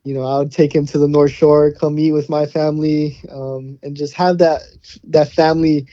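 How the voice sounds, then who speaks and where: A man's voice: a little high, little pitch movement, moderate pace, warm tone, no room sound, dull audio. A young man from Hawaii, a college football player, answering interview questions in an easygoing way with many fillers and restarts. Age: young adult.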